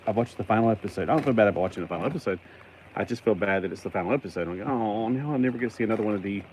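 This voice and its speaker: A man's voice: fairly low pitch, noisy background. An American man chatting casually through an unboxing vlog, rambling and playful, as if half talking to himself. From United States. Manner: rambling, playful, talks to himself, acts out imagined replies. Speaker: toy collector unboxing mail on a vlog.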